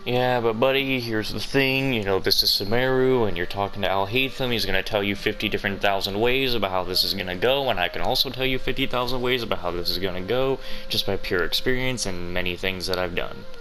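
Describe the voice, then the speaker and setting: A man's voice: lowish voice, brisk, few pauses, pushed and bright, rough recording. A man giving casual, joking, rambling commentary over a video game playthrough. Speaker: gaming streamer. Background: game music.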